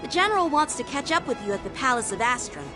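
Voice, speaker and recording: A woman's voice: high voice, sing-song, fast talker. A woman voicing an eager, chatty teenage boy swordsman in a video game, speaking politely and earnestly. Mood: apologetic. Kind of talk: English video game voice acting. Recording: game music behind the voice.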